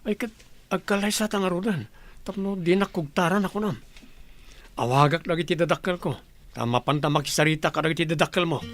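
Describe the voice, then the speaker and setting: A man's voice: fairly high pitch, a little fast, fairly bright tone, lightly acted. A man with an Ilocano accent playing a young working man soon to marry, in a radio comedy-drama. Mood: concerned.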